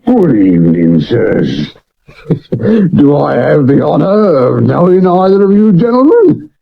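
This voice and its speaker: Gravelly, theatrical drunken ex-butler. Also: male voice, fairly high pitch, wildly swooping pitch, takes it slowly, heavily acted. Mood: tipsy, mock-courteous. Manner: theatrical, florid, mock-courteous drunken speech. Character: drunken, disgraced old butler, florid and wily. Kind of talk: 1970s radio drama acting. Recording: muffled old medium-wave radio recording.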